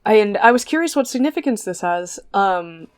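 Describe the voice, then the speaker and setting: A young woman co-hosting a relaxed podcast conversation. Her voice sits at an ordinary middle pitch, and she talks in a chatty, curious way, wandering through her thoughts with frequent ums and small restarts. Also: moderate pace, clear, resonant tone. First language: not Japanese. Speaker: podcast co-host. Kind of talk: casual podcast chat.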